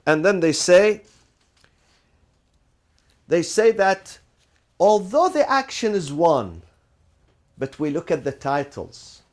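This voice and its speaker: A man with a fairly high voice gives a classroom lecture. He keeps asking "what?" and then answers himself, repeating phrases to drive the point home and dropping in Arabic legal terms as he goes. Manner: asks rhetorical questions and answers them, repeats phrases for emphasis. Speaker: teacher.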